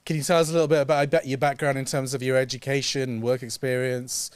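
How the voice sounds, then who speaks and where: A man co-hosting a casual studio chat as editor, asking relaxed, friendly questions. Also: medium pitch, quick pace, smooth. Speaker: editor and co-host.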